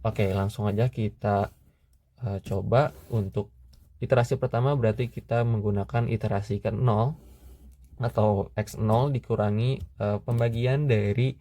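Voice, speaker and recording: A young man with a low, mellow voice walks through spreadsheet calculations step by step. He speaks steadily and methodically, often pausing on "eh" while he works. The sound is dry and close, with no room around it. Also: dry recording. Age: young adult. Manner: steady, methodical, pauses with "eh" fillers. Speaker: student tutor. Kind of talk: screen-recorded math tutorial.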